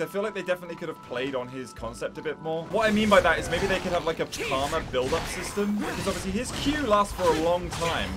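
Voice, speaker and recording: A male gaming streamer chats casually as he plays. His commentary is enthusiastic and talkative, in an average register. Game music is clearly audible behind him. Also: fairly high pitch. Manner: enthusiastic, chatty. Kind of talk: casual let's-play commentary.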